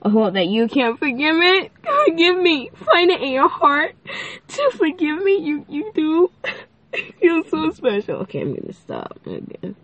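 A woman's voice: high voice, huge pitch swings, unhurried, a bit bright, dull audio. A fifteen-year-old girl hosting her own faith podcast, chatty and playful, with a stuffy, nasal voice and a lilt. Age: teens. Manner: bubbly, chatty, playful, many fillers. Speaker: podcast host. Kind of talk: casual faith podcast chat.

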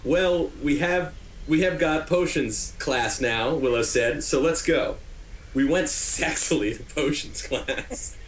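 A man's voice: on the high side, slow, reverberant, slight background noise. A man giving a comic dramatic reading of fan fiction, prone to cracking up. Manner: prone to breaking up laughing. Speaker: podcast host doing a dramatic reading.

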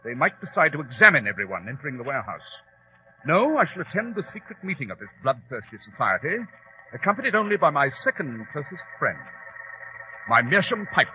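An incisive English detective with a British accent in a vintage radio drama. He is cool and exact, speaking rapidly with lively swings in pitch. The old recording is slightly muffled. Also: soft background music, exaggerated voice. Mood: resolute. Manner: brisk, precise, self-assured. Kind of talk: 1940s radio drama acting.

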